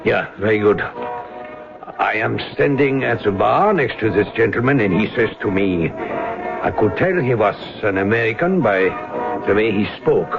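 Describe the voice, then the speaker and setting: An actor playing a deferential, wary German witness in a radio drama, speaking stiffly and haltingly with a German accent. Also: male voice, lowish voice, lively intonation, gravelly, over music. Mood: earnest testimony. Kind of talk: 1970s radio drama acting. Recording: dull lo-fi old radio recording.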